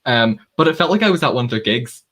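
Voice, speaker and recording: A man hosts a relaxed chat over a video call. His voice sits at a middle pitch. He gives his opinions in an easy, self-mocking way. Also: fast. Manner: opinionated, relaxed, laughs at himself. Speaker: host. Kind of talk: casual panel chat.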